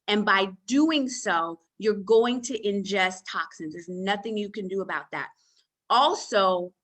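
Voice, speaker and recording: A woman's voice: medium pitch, pushed and bright. A woman hosting a nutrition panel on a call, giving an emphatic motivational talk, repeating her words and calling her listeners sister friends.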